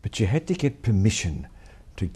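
A man's voice: lowish voice, very animated, normal speed, warm tone, slightly rough. An older man interviewing a business founder, asking probing conversational questions, often with tag questions. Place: high-rise office meeting room. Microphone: lapel mic.

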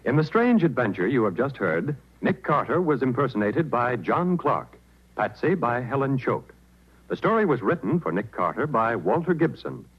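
A muffled vintage broadcast of a male radio announcer reading credits. His husky voice moves in lively, formal 1940s swings. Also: medium pitch, normal speed.

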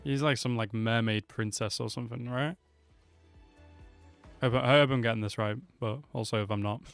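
A man's voice: mid-range voice, steady tone, normal speed, vocal fry, clear, resonant tone, soft background music. A man in his twenties giving slangy, offhand reaction commentary into a close desk microphone. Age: 20s. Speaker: reaction video host.

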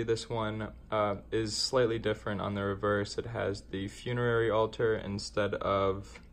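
Laid-back young man, low and flat. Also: vocal fry. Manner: relaxed, rambling, frequent uh fillers. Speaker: coin-collecting vlogger. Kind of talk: casual vlog chat. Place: car, then indoors at a desk.

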